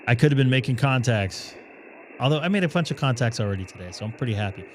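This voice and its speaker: A man hosting a ham radio live stream, chatting and thinking aloud as he operates, his voice going hoarse. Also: mid-range voice, expressive, quick pace, a little hiss or hum, low-quality recording. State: losing his voice.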